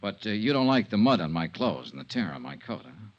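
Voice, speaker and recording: Low-voiced hardboiled radio detective. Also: male voice, big pitch swings, brisk. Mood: cool, needling. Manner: clipped, sardonic, hardboiled. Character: tough, wry male insurance investigator, cool under threat. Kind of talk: 1950s radio detective drama acting with first-person narration. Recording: muffled 1950s radio recording, music.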